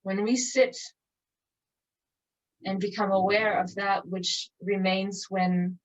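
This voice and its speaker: A woman leading a guided meditation in a calm, contemplative, measured manner with long pauses. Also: medium pitch. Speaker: meditation teacher. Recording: distant mic, dull muffled sound.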